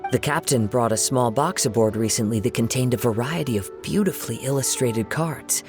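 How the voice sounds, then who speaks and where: Serene young male wanderer for a game, male actor, soft mid-pitched voice, courteous measured phrasing, music underneath. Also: close to an everyday voice. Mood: calm, reflective. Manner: calm, polite, measured, formal wording. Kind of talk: animated video game voice acting.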